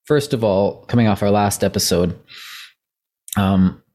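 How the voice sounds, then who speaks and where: Deep-voiced man rambling on a podcast. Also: steady tone, unhurried, mellow. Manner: rambling, thinking aloud with many fillers. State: losing his voice. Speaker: podcast co-host. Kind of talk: casual discussion podcast.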